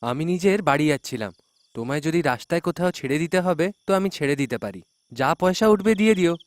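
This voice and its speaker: A man's voice: on the high side, animated, brisk, slight background noise, lightly acted. A man playing a jumpy, superstitious autorickshaw driver in a folktale, exclamatory and given to muttering to himself. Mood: puzzled, practical.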